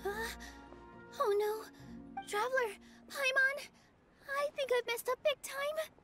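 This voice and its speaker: A gentle, earnest young dancer in a video game. The voice is very high, girlish and soft, with music clearly behind it. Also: takes it slowly. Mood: worried.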